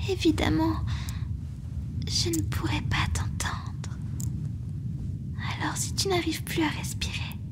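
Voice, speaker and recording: A woman voicing a gentle, teasing slime monster nurse in a soft, caring French ASMR roleplay, often slipping toward a whisper. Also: high voice, full character voice. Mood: reassuring whisper. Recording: clearly noisy ambience behind the voice.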